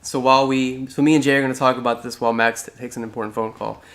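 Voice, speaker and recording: Male host, casual chat, bright tone, roomy echo, talks in restarts. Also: mid-range voice, fairly even intonation, fast. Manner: rambling, restarts often. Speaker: podcast host.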